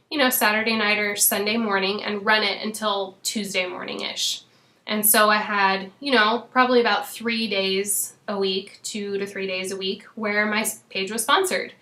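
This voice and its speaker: Casual hoarse woman coach chatting to her team, lots of ums, echoey room. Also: medium pitch, pushed and bright. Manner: chatty, frequent "um" and "you know". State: losing her voice. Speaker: fitness coach.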